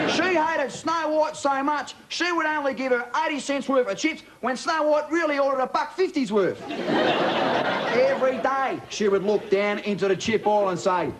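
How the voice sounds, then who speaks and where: A man playing a cheeky Australian bogan bloke with a broad accent, telling a comic bedtime story in a laid-back, slangy, matey way. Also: very high-pitched. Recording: TV studio with loud audience laughter, noisy.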